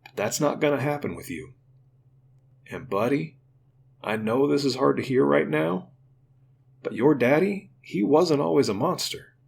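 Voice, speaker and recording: A man playing a gruff but tender uncle who talks to his young nephew in an earnest, plainspoken, folksy way in an audio drama. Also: mid-range voice, lively intonation, measured pace, long pauses, a little hiss or hum, pitched above the performer's own voice. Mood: earnest, emotional.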